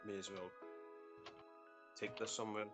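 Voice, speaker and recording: A man with a fairly low voice speaks as a guest music producer. His manner is laid-back and informal, like casual interview chat. Faint music plays behind the call. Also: little pitch movement, normal speed, fairly bright tone.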